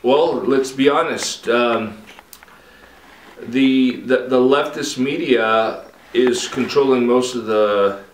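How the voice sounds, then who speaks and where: A man speaks in a middle-pitched voice. He hesitates often, with ums and restarts. The room around him is echoey. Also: slow, a little hiss or hum. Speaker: conversation partner. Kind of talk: casual conversation.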